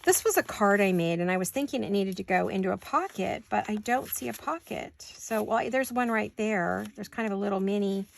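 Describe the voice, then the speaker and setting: A woman with a sore throat chatting casually to viewers while she crafts at home, thinking out loud as she works. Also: medium pitch, a little fast, creaky, hardly any pauses. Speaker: craft vlogger. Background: scissors and crafting clicks.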